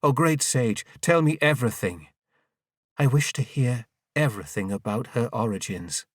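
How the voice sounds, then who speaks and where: A man's voice: mid-range voice, animated, normal speed, no room sound, clean studio sound, recorded from a distance, lightly acted. A man voicing an earnest king who questions a holy sage in a formal, reverent way, in an audiobook. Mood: earnest inquiry.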